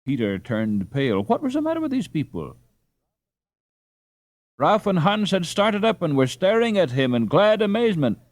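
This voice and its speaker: A man reading a storybook aloud as an audiobook narrator, with expressive scripted delivery. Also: high voice, moderate pace, no echo. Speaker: audiobook reader.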